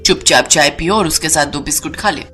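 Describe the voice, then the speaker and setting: Lady doing a bossy older saas, low voice, stingy and scolding, storybook style. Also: a little fast, sharp tone, soft background music. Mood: grumbling. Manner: scolding, demanding. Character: bossy, stingy, nagging older mother-in-law.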